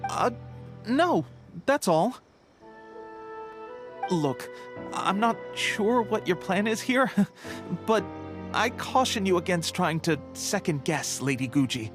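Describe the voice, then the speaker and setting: Male shop clerk for an anime game: high, animated, helpful yet cautious, hesitant phrasing, music underneath. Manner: hesitant, conversational, deferential, many hums and pauses. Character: chatty, polite male bookshop clerk, helpful but cautious. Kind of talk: English anime game voice acting.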